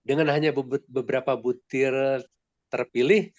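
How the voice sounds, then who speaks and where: A man's voice: medium pitch, fairly even intonation, normal speed, a little mellow, no room sound. Man, stuffy nasal voice, polite, restarts often, dull dry video call sound. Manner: polite, rambling, many restarts. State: nasal and congested from a sore throat. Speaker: discussion participant. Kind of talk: online book discussion.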